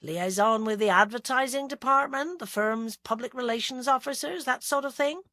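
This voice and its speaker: A woman voicing a calm, gently teasing male police detective with a soft Gloucestershire accent. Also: a little high, normal speed, no room sound, clean studio sound, pitched above the performer's own voice.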